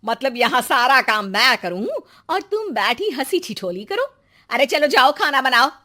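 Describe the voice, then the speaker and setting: A woman voicing a middle-aged mother-in-law in an animated story, bossy with juniors and meek before elders. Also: high-pitched, a little slow, pitched above the performer's own voice, heavily acted. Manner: flips between pleading and ordering. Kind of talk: animated moral story acting.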